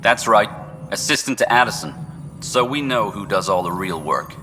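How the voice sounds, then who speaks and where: An actor playing a smug, slippery male assistant director in a video game, talking smoothly and brushing things off. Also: fairly low pitch, lively intonation, normal speed, bright, forceful tone, long reverb tail, slight background noise. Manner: smooth, dismissive.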